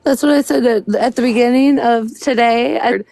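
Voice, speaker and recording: A woman's voice: a little high, moderate pace, gravelly, mellow. A woman chatting casually as a panelist on a gossip livestream.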